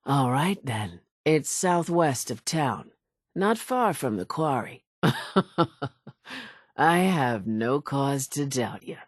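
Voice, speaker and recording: An actress playing a vengeful, folksy old islander woman in a video game, with a weathered, husky voice and a deliberate storytelling way of talking. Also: very deep voice, animated, no room sound, studio quality, full character voice. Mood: wry. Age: older. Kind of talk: video game character dialogue.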